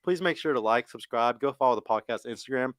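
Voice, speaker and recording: A man's voice: medium pitch, a bit bright, dry recording, clean studio sound. A man hosting a casual dating podcast, hyped up and cursing freely. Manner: brisk, hype, swears freely. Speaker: dating show host.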